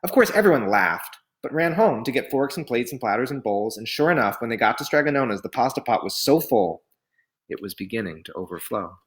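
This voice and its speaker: A man reading a picture book aloud in an expressive, dramatic storytelling style. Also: mid-range voice, a little fast.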